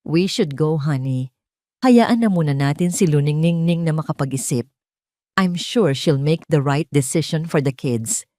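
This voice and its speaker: A woman voicing a sweetly condescending rich young woman in an audio story, gentle and sugary, mixing English into Tagalog. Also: sits low, measured pace, no echo, studio quality. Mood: veiled threat.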